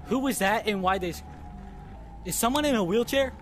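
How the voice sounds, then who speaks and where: A man hosting a VR horror let's play, excitable, yelping and shouting when scared. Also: sits high, fairly quick. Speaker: gaming host. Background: game music.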